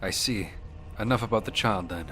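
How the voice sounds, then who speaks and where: A man's voice: fairly low pitch, moderate pace, pushed and bright, a little hiss or hum. An actor playing an earnest young male warrior hero in a fantasy game, with a plain, serious, questioning manner. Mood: serious, insistent. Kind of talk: dramatic fantasy game dialogue.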